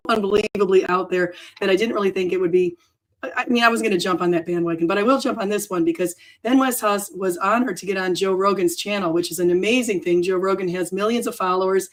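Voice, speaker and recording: Woman host, froggy hoarse voice, rambling unscripted livestream chat. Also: medium pitch, poor audio. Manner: rambling, self-correcting, chatty. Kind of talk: off-the-cuff livestream talk.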